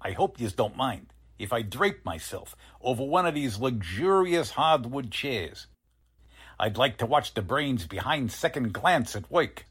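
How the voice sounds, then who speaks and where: Man acting a cocky, husky-voiced wisecracking newsman. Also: mid-range voice, big pitch swings, a little slow, fairly bright tone, full character voice. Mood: teasing. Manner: teasing, streetwise slangy speech. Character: cocky wisecracking newspaper photographer, cynical and slangy. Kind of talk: 1940s radio drama acting.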